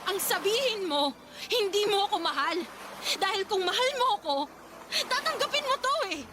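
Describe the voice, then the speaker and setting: Radio drama role: hurt pregnant girlfriend, very high emotional voice, pleading and indignant, over noisy ambience. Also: expressive, moderate pace. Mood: pleading, upset. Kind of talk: Tagalog radio drama acting. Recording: clearly noisy background ambience.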